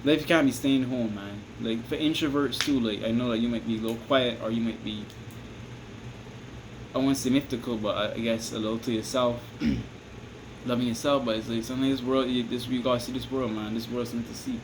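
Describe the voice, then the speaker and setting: A man with a Barbadian accent talks loosely and at a middling pitch, circling back on himself and checking in with the listener. A steady hiss and air-conditioner hum sit under his voice. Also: fairly quick. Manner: rambling, repeats "you understand me?", restarts often. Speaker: vlogger giving advice. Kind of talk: casual advice monologue to camera.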